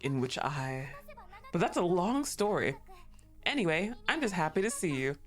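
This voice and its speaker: A woman reading aloud the lines of a cheerful young female game character, lightly and softly. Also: fairly low pitch, sing-song, normal speed, soft background music. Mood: sweet.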